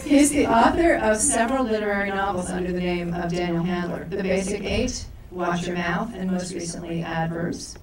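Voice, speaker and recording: A woman's voice: lowish voice, steady tone, normal speed, hardly any pauses, crowd noise. A woman co-director opening a live event, reading speaker introductions with stumbles and self-corrections. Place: large echoey event hall. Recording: echoey hall from far off.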